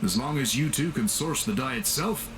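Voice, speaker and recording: A man as a formal, lively-toned scholarly game character. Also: medium pitch, very noisy, close to an everyday voice. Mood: businesslike, courteous. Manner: formal, measured, explanatory. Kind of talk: video game voice acting.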